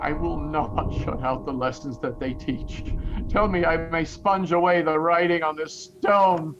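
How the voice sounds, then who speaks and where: An actor playing a repentant old miser in an audio play, halting and stammering with fervent feeling. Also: male voice, a little high, steady tone, muffled recording, over music, natural voice. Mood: desperate pleading. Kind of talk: theatrical audio-play acting. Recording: noisy audio-play recording.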